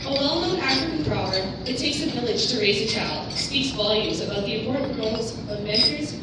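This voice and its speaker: A woman's voice: fairly high pitch, fairly quick, very noisy. Young woman, Dutch native, earnest speech read from notes; distant mic in an echoey hall. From Netherlands. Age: young adult. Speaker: award recipient giving a speech. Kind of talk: prepared speech. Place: conference luncheon hall.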